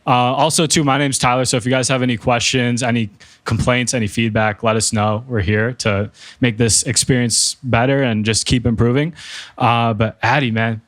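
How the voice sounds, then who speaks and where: A man's voice: mid-range voice, steady tone, moderate pace, fairly bright tone. A man hosting a podcast, asking questions in a casual, upbeat, slangy way. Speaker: podcast host. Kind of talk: casual interview.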